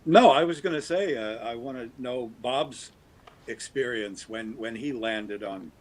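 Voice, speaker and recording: A man who is an actor, chatting casually with quick asides. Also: medium pitch, a little hiss or hum. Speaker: actor guest. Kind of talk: casual conversation over a call.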